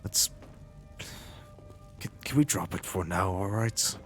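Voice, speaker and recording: A man voicing an earnest, reassuring ex-mercenary adventurer in a video game roleplay, speaking in a halting, deliberate way over music. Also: low voice. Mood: gentle, wary.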